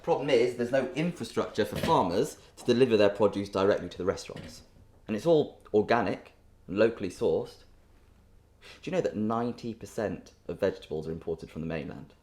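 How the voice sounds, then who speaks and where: A man's voice: lowish voice, animated, fairly quick, a little mellow, natural voice. An actor playing an earnest, defensive man in a bickering romantic couple, speaking in an understated, naturalistic stage drama style. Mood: earnest, passionate.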